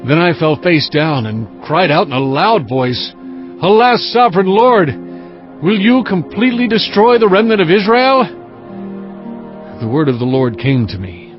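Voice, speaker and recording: A man playing an earnest prophet who recounts his visions in measured, solemn scripture narration. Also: sits high, lively intonation. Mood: anguished pleading. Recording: slightly dull audio with music behind.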